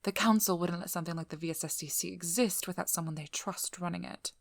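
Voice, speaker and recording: A woman playing a devious, vigilant vampire hunter in a scripted ASMR roleplay, teasing and controlling, with a breathy voice that drops into whispers. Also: on the low side, steady tone, fast, no room sound. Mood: cool, probing. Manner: teasing, playful, coolly controlling. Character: devious, vigilant female vampire hunter, flirty then businesslike. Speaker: audio roleplay performer.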